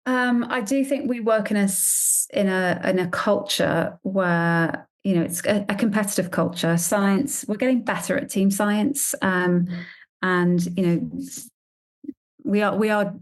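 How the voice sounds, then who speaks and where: A woman's voice: medium pitch, fairly even intonation, moderate pace. A woman scientist answering conversationally in an interview, emphatic and repeating phrases for stress. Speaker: scientist and grant panel member.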